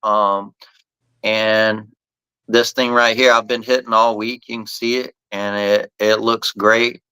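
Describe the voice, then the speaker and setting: A man's voice: lowish voice, little pitch movement, takes it slowly, sharp tone, clear, resonant tone, dry recording, poor audio. A man hosting a casual live podcast, talking loosely about his company's products and often restarting his phrases. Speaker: podcast host and bat company owner.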